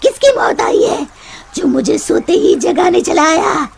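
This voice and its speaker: A woman voicing a haughty, menacing river witch in a cartoon story, imperious and taunting with drawn-out threats. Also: extremely high pitch, very animated, gravelly, voice pushed up, heavily acted. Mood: angry, bossy. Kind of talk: Hindi cartoon story voice acting. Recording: noticeable background noise.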